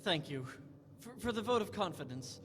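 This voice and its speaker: An actor playing an earnest, brooding young horror writer, hesitant and formal, in a theatrical audio drama. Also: male voice, high-pitched, sing-song, moderate pace, a bit bright. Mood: shy gratitude. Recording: noisy live stage recording.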